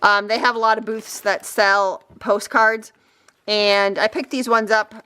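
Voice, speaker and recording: A woman chatting casually and a bit ramblingly as she shows craft finds, her throat slightly raspy. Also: medium pitch, fairly even intonation, unhurried, bright, forceful tone. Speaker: crafting vlogger. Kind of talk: casual chatty haul show-and-tell.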